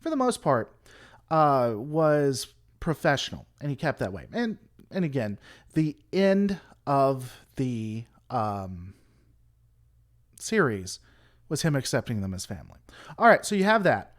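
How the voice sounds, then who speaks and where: A man's voice: medium pitch, sing-song, unhurried. A man hosting a casual livestream, rambling through an opinionated critique and answering chat. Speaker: livestream host.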